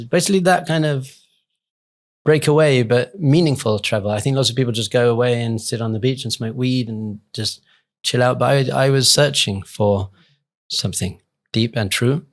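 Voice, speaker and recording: A man's voice: medium pitch, steady tone, normal speed. A man speaking as an interview guest, reflective and earnest in an informal, conversational way. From England. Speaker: rabbi and podcast guest.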